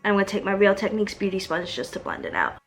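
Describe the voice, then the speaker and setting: A woman hosts a tutorial in a casual, chatty style. Her voice sits at an average pitch for a woman. Music plays clearly behind her as she explains things step by step. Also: wildly swooping pitch, quick pace, fairly bright tone. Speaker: makeup tutorial host.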